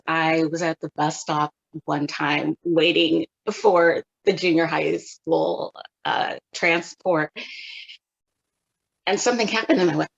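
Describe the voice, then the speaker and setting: Slow, gravelly woman, lo-fi. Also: deep voice. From California. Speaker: guest photographer. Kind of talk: measured interview answers.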